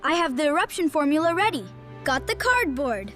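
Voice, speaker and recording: A woman's voice: high voice, very animated, fairly quick, over music. A woman voicing an eager, kind-hearted schoolboy in a children's cartoon story, earnest and exclamatory. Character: excitable, eager, kind-hearted schoolboy building a science project.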